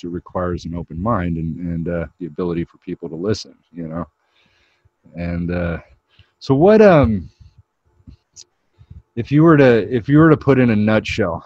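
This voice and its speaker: Deep-voiced, halting male podcast host. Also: darkish tone. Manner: halting, many "you know" and "uh" restarts. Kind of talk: interview questions. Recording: dull, dry lo-fi sound.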